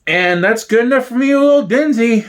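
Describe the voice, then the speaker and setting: Comedy sketch role: male performer as an earnest, forgiving gangster's victim, high-pitched and very animated. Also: normal speed. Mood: fond, insistent. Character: high-voiced loyal gangland victim, fondly excusing his torturer. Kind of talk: comedy sketch dramatic reading.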